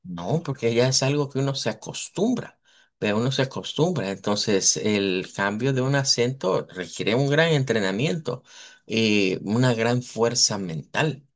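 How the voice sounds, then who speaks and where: A man, lecturing in a live online language class. His voice sits at an everyday middle pitch. He talks patiently, spells out sounds slowly and keeps asking his students whether they follow. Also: expressive. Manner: explanatory, repeats and models sounds slowly; checks in with students, asks "verdad". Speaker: language teacher.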